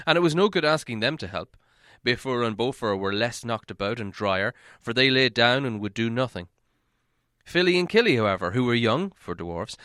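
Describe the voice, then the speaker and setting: A man reading a fantasy novel aloud as an audiobook narrator, in dramatic, measured storytelling. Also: mid-range voice, a bit bright, dry recording, studio quality.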